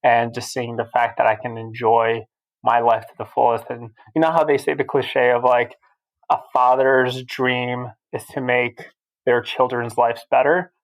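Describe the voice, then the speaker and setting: A man in his thirties from Albania, a guest on a podcast, who talks through his life in a casual, steady way. His pitch is middling and his intonation stays level with little rise and fall. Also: a little slow, low-quality recording. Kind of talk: casual interview chat.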